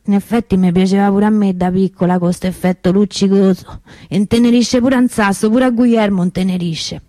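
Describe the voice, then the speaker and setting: An actress playing a chatty, cynical working-class souvenir hawker with a Roman accent in a radio monologue. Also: female voice, medium pitch, warm tone, dry recording, natural voice. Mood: hawking, playful. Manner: rambling street storyteller, wry, colloquial. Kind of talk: colloquial theatrical monologue for radio.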